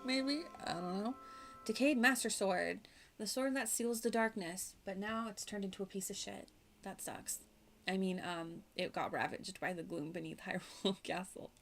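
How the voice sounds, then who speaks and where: A woman's voice: mid-range voice, moderate pace, soft background music. A woman streaming a game with casual, slangy commentary, rambling as she reacts aloud.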